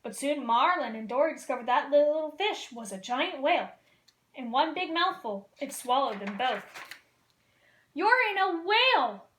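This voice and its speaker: A woman reading a children's picture book aloud as a costumed storyteller, in a lively, expressive way. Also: high-pitched, moderate pace. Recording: roomy echo.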